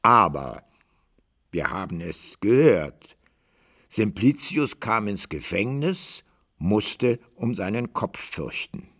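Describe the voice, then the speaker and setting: A man narrating a literary radio play as a deliberate, rhetorical storyteller. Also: on the low side, big pitch swings, a bit bright.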